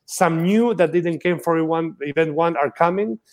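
A man's voice: fairly high pitch, normal speed, a little mellow. Hesitant man speaking English. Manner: hesitant, slightly broken English with fillers. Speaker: guest.

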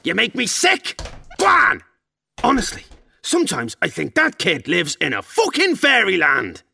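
A man's voice: high voice, wildly swooping pitch, moderate pace, creaky, bright, forceful tone, slight background noise, full character voice. A man playing an exasperated, sarcastic, sweary father in a comedy sketch. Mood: indignant, fed up. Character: exasperated, sweary, sarcastic dad of a beanstalk farm.

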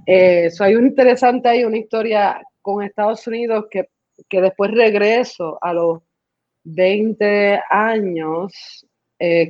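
A woman's voice: medium pitch, no room sound, highs cut off. A woman whose first language is English, born in Mexico and raised partly in the United States, telling her story as a guest in a casual conversation and slipping English words into her speech. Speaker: guest artist.

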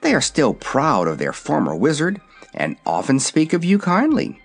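A man's voice: a little high, huge pitch swings, normal speed, gravelly, husky, soft background music, voice pushed up, exaggerated voice. A man voicing a cheerful, confident young girl in a fairy-tale story. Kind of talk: storybook character voice.

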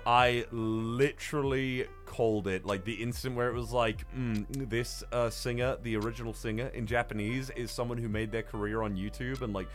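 A man's voice: mid-range voice, steady tone, normal speed, few pauses, soft background music. A man on a podcast panel, chatting casually about films in an effusive way with plenty of swearing. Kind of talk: casual film review chat.

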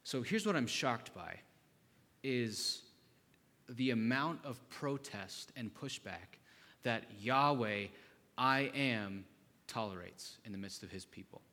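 A male pastor giving a relaxed, conversational sermon, posing questions to the congregation. His voice sits at a medium pitch and carries a long, hall-like reverb. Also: slow.

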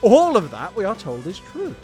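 A man's voice: high-pitched, huge pitch swings, normal speed, darkish tone, faint music behind. A British man co-hosting a silly history comedy podcast, with playful, mock-grand scripted narration.

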